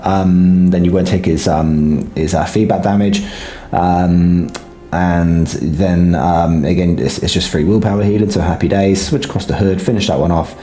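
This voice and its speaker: Low, mellow man with a sore throat. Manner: hesitant, filler-heavy, low-key. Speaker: gaming guide creator. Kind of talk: casual game commentary. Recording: roomy echo.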